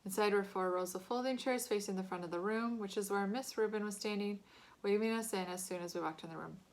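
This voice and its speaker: A woman's voice: mid-range voice, quick pace, poor audio. Woman reading a children's story aloud. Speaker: audiobook-style reader. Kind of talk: expressive scripted narration of children's novel.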